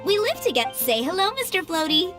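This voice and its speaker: Very high, dreamy little girl. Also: sing-song, a little fast. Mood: cheerful, dreamy. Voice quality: childlike. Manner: innocent, earnest. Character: sweet, dreamy little flower-shop girl, innocent and cheerful. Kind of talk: video game character acting. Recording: game music behind the voice. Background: children's music.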